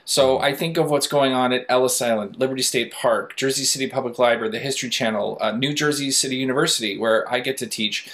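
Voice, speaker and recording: An American man who teaches, speaking haltingly in a panel discussion on a video call. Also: medium pitch, fairly bright tone.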